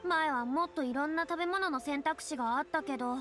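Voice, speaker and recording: Woman voicing a proud dramatic anime game girl, high voice, boyish way of talking, music behind. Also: fairly quick, natural voice. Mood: composed. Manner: haughty, dramatic, speaks with boyish self-reference. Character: proud, theatrical young woman, a retired star, boyish speech. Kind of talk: Japanese anime-style game voice acting. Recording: studio voice over game music.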